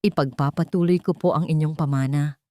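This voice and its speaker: A woman narrator acts out a devoted young wife in a romance tale. She is grateful and heartfelt, speaking softly in a dry recording. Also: low voice, steady tone, a little fast, no room sound, close to an everyday voice. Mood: solemn, resolved.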